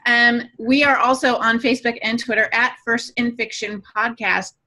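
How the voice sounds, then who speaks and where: A woman's voice: on the high side, steady tone, moderate pace, sharp tone. A woman hosting a podcast in a chatty way, with playful, teasing banter. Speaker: podcast host and moderator.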